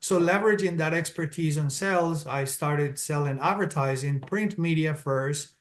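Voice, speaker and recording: A middle-aged man from Colombia with a Colombian Spanish accent, a guest in an interview, explaining things in a steady, businesslike way and laying out his points in order. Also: a little high, moderate pace. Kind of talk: interview answers, explaining step by step.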